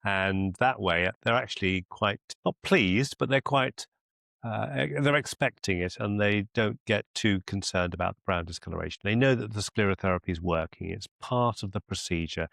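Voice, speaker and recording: Deep, creaky-voiced male mentor. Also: moderate pace, a bit bright, no echo, clean studio sound. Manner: reassuring, explanatory. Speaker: medical mentor. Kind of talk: conversational mentoring call.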